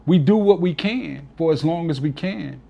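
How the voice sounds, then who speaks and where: A man's voice: on the high side, animated, normal speed, vocal fry, lo-fi sound. A man in his forties, a DJ guest on an interview, talking earnestly and from the heart, often adding "you know". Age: 40s. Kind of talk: casual reflective interview talk.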